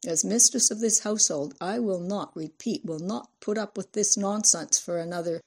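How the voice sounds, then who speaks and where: A woman's voice: medium pitch, moderate pace, darkish tone, breathy edge. A woman voicing a domineering middle-aged mistress of the house, scolding in haughty, shrieking indignation. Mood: indignant.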